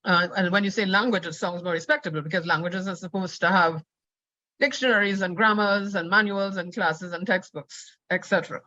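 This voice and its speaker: A woman's voice: fairly low pitch, a little fast, bright, forceful tone, dry recording. Lecturing woman, dry dull sound. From Trinidad and Tobago. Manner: conversational, with frequent fillers and restarts. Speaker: conference plenary speaker. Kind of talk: academic lecture.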